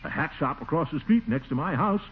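An actor playing a pompous, fussy middle-aged busybody with a blustering manner, in 1940s radio comedy style. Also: male voice, fairly high pitch, lively intonation, brisk, husky, heavily acted. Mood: ingratiating. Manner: blustering, indignant, prone to nervous chuckles. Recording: tinny, noisy 1940s radio recording.

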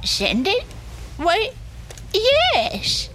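A woman's voice: extremely high pitch, wildly swooping pitch, drawn-out words, fairly bright tone, a little hiss or hum, exaggerated voice. A woman playing a frail, muddled elderly lady in an audio drama, hesitant and faltering. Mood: confused, faint. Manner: hesitant, faltering, absent-minded.

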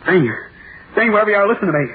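A man's voice: high-pitched, expressive, racing. An actor playing a tormented radio writer, a desperate son losing his mind, pleading and repeating himself in 1930s radio horror style. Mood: frenzied. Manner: frenzied, pleading, repeats phrases. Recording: tinny, hissy 1930s radio recording.

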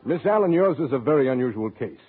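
An actor playing an earnest, pompous psychiatrist with a husky voice in 1940s radio comedy, on an old recording. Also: male voice, medium pitch, animated, quick pace, phone-quality sound, background noise, close to an everyday voice. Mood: probing. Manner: clinical, pompous. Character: earnest, self-important psychiatrist.

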